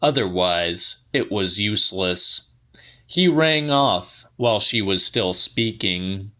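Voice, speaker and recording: A man reading literary fiction as an audiobook, with deliberate, precise and slightly dramatic delivery. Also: on the low side, animated, pushed and bright. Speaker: audiobook narrator.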